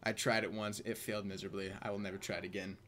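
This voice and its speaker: A man's voice: lowish voice, flat delivery, very fast, fairly bright tone. A young man tells personal stories in a casual, crude, joking way, amused by his own jokes as a video host. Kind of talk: casual comedic personal storytelling.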